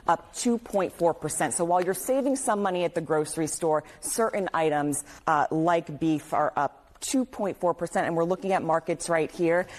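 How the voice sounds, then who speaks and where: A woman reporting business news live, explaining economic figures in an emphatic way. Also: on the low side.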